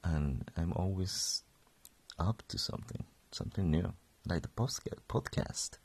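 Gravelly deep-voiced young man, just woken. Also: unhurried, darkish tone. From Colombia. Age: 20s. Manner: rambling, self-correcting, chuckling. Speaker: podcast host. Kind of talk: casual solo podcast.

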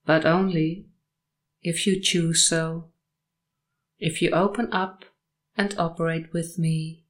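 A woman guiding a sleep meditation and hypnosis session in short, measured, soothing phrases with long pauses between them. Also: fairly low pitch, a bit bright.

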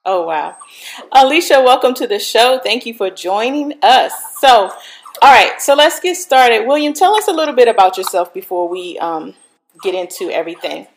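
A woman hosting a talk show, loud and chatty, with frequent fillers. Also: fairly high pitch, lively intonation, moderate pace, a little hiss or hum. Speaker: host.

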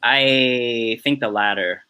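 A young man speaks as a podcast guest in a bright, forceful voice. He rambles and pads his sentences with "you know" and "um". The talk is relaxed and conversational. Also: medium pitch, takes it slowly, clear, resonant tone. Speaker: podcast guest, film assistant director. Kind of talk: casual interview chat.

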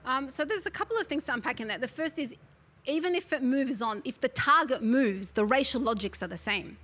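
A woman, speaking as an interview guest who talks about her book in an articulate, earnest way and often fills pauses with "you know". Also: high-pitched, expressive, fairly bright tone. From Australia. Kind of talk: conversational interview.

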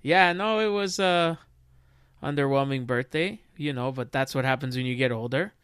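A man's voice: medium pitch, normal speed, fairly bright tone, clear, resonant tone, no echo, clean studio sound. A man in his thirties co-hosting a casual tech podcast, chatty and joking. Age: 30s. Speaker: podcast co-host.

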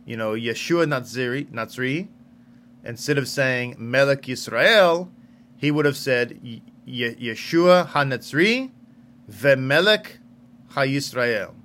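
A man's voice: mid-range voice, lively intonation, slow pace, fairly bright tone. A man giving an informal scripture lesson as a religious teacher, repeating phrases for emphasis. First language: English.

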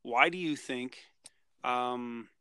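A man's voice: medium pitch, slow, bright, forceful tone, clear, resonant tone, no room sound, clean studio sound. A man co-hosting a casual podcast discussion, rambling through his thoughts with many restarts. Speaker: podcast co-host.

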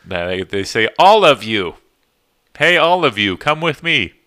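A man's voice: mid-range voice, sing-song, unhurried, bright, forceful tone. A young man in casual chat, trading dry jokes and chuckling between remarks.

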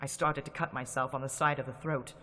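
A man's voice: mid-range voice, fairly even intonation, fairly quick. A man narrating a classic radio drama in a tense, dramatic storytelling manner. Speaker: narrator and lead actor. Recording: loud music under the voice.

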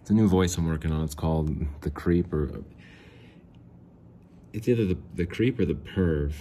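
A man hosting a casual solo podcast, rambling and self-mocking, clearing his throat often and answering his own questions. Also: deep voice, normal speed, mellow, a little hiss or hum. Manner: frequent throat clearing and restarts. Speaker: podcast host.

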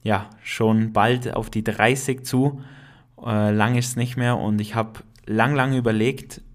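A man in his late twenties from the Allgäu with a noticeable Allgäu accent, hosting a casual solo podcast in a relaxed, chatty way with many hesitations. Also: fairly low pitch, takes it slowly. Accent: Allgäu dialect. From Allgäu, Germany. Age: 20s. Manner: relaxed and chatty, frequent hesitations, laughs at himself. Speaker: podcast host.

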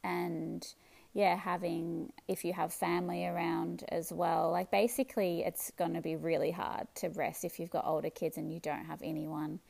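Gravelly Australian woman podcaster. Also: on the low side, normal speed. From Melbourne, Australia. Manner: relaxed, chatty, many ums and restarts. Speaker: podcast host. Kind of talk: casual solo podcast chat.

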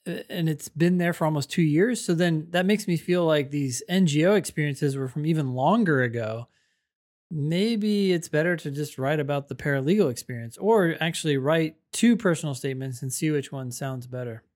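A man's voice: on the high side, moderate pace, a little mellow. A man co-hosting an advice podcast in a casual, conversational way, thinking aloud as he goes. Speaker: podcast host.

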